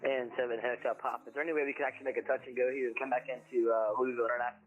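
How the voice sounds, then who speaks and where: A man's mid-pitched voice comes over an aviation radio link. He talks quickly as a pilot, casual and a little hesitant in his requests, with faint music behind. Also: level tone, narrow, tinny audio. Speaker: virtual pilot. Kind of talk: radio calls to air traffic control.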